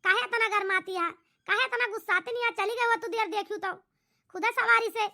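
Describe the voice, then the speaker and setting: A woman voicing a chatty, meddling young sister-in-law in a cartoon, lively and coaxing, with an Awadhi accent. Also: very high-pitched, fairly quick, gravelly, a little hiss or hum, full character voice. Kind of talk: rustic cartoon comedy dialogue.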